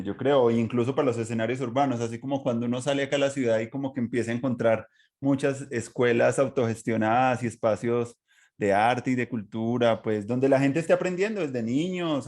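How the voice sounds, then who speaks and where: A man's voice: mid-range voice, darkish tone. A man with a Colombian accent, hosting a podcast in a reflective, conversational interview style. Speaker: podcast host.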